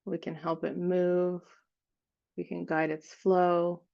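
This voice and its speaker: Low, creaky-voiced woman guiding meditation. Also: little pitch movement, highs cut off. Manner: gentle, unhurried phrasing with frequent pauses. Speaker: guided meditation leader. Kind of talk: guided meditation, improvised visualization.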